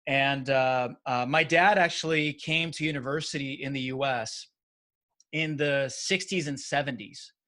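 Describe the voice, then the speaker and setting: A man's voice: mid-range voice, fairly even intonation, unhurried, pushed and bright. A man in his forties from Brazil and the United States, speaking casually and animatedly as an interview guest, repeating words for emphasis. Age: 40s.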